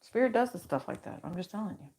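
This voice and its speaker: A woman with a low voice hosting a casual livestream card reading into a microphone, chatty and reassuring as she speaks in affirmations. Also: animated, fast talker, background noise. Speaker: tarot reader and channel host.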